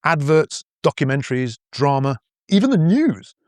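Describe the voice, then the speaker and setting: A man from northern England whose accent is mostly posh with a few northern vowels left, telling an educational podcast in a playful, explanatory manner. His pitch swings freely as he talks, and the recording is close and dry with no room sound. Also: moderate pace, no room sound. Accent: English, mostly posh with northern traces. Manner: playful, explanatory, lively storytelling. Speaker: podcast host and language teacher. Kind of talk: scripted educational podcast narration.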